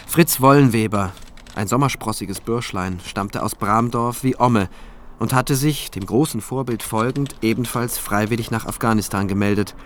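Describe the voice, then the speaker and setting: A man's voice: on the low side, moderate pace, a little hiss or hum, natural voice. An actor playing a state prosecutor who narrates his case in a reflective, dry, observant first-person style for a radio drama. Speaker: narrator and lead character.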